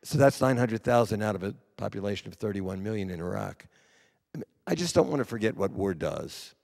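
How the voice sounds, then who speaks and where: A man giving earnest panel remarks in a halting, deliberate way. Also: on the low side, gravelly, darkish tone. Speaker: panel speaker. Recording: echoey hall with long reverb.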